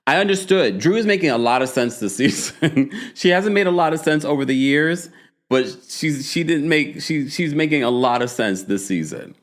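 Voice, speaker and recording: A man hosting a casual live recap of a reality show, gossipy and animated, with quick asides to his chat. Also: a little high.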